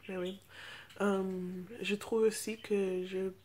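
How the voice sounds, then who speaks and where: A young American woman, a native English speaker, chatting casually to camera and haltingly searching for words in a second language. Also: mid-range voice, little pitch movement, darkish tone, slight background noise. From United States. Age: teens to young adult. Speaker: vlogger. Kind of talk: casual unscripted chat to camera.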